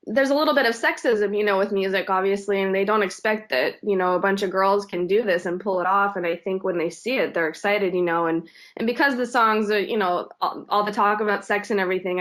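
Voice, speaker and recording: A woman's voice: medium pitch, a bit bright. Woman singer chatting with many "you know"s. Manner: hesitant, many fillers and restarts. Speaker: singer in a cover band, guest. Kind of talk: casual interview chat.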